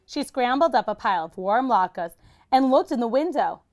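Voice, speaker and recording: A woman's voice: a little high, lively intonation, normal speed, darkish tone. A woman reading a children's picture book aloud like a teacher, expressively and with dramatic emphasis.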